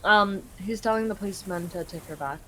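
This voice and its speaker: A woman's voice: mid-range voice, fast, bright, forceful tone, low-quality recording. A woman casually translates game lines on the fly for a friend, peppering everything with fillers and swearing. Her mid-range voice sits over a faint background hiss. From Canada. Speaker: live translator. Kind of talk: casual live translation during a game stream.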